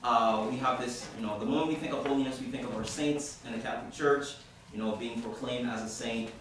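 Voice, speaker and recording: A man from Haiti, a youth minister, praying and then telling a story to a group in a halting, informal way with many fillers. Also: medium pitch, fairly even intonation. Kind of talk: opening prayer and talk to a group. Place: hall at a university centre. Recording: echoey room from far off, background noise.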